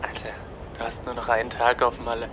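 An actor playing a pushy colleague who teases his friend, with a clear noisy street rumble behind him. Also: completely flat delivery, a little fast, lightly acted. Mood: joking, mocking. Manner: mocking, pushy. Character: teasing male colleague on the phone.

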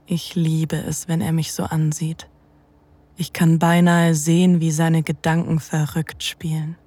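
A low-pitched, mellow female voice from an actress playing a dominant, sensual lover in an erotic audio drama. She narrates intimately and unhurriedly, breathy and often close to whispering, with a teasing edge. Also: flat delivery, measured pace, no room sound. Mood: sensual, slow. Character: seductive, dominant adult woman lover. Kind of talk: intimate first-person scripted narration.

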